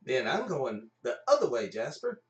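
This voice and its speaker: A man playing an excitable, gullible appliance repairman in an amateur live radio play, exclaiming in alarm. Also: medium pitch, normal speed, distant mic, lo-fi sound. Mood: excited alarm. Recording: echoey room, off mic, music behind.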